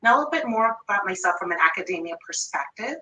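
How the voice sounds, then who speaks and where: A woman's voice: mid-range voice, lively intonation, quick pace, sharp tone, echoey room, far from the mic. A woman in her thirties from Jamaica with a light Jamaican accent, giving an upbeat online workshop presentation on pay. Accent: Jamaican, with Toronto and New York influence. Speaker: workshop presenter, finance manager.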